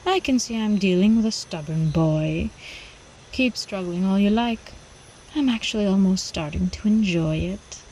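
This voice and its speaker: A woman playing a predatory older vampire countess in an ASMR roleplay, haughty, teasing and possessive, with breathy drawling speech that often drops into whispers. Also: mid-range voice, unhurried, mellow, slight background noise, close to an everyday voice, poor audio. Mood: smug, smothering. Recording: faint night insect ambience behind.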